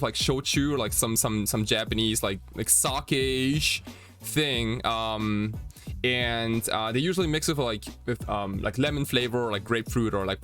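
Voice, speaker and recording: A man of twenty-nine from Germany chats casually as a podcast host, rambling and halting with many fillers. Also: mid-range voice, fairly bright tone. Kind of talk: casual chat. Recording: music behind the voice. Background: faint background music.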